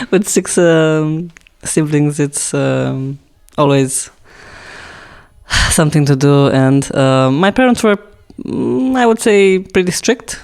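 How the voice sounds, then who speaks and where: Gravelly Romanian-accented woman guest. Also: sits low, lively intonation, takes it slowly, darkish tone. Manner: hesitant, many fillers and restarts. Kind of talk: casual interview answers.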